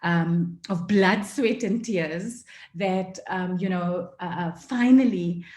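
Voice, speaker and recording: A woman from South Africa giving an earnest talk at an online forum, often pausing with fillers. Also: lowish voice, darkish tone, echoey room. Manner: earnest, many fillers, emotional at times. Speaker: forum speaker. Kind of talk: passionate talk. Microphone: video call.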